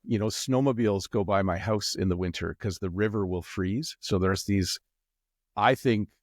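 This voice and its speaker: A middle-aged Canadian man with a Canadian accent, chatting as an interview guest in a relaxed, rambling way with many ums and restarts. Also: fairly low pitch, moderate pace, no echo, clean studio sound. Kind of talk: casual interview chat.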